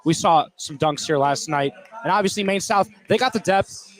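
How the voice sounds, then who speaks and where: Higher-voiced man giving halting basketball analysis. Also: poor audio. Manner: halting, repeats words as he thinks aloud. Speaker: color commentator. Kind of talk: conversational live sports analysis.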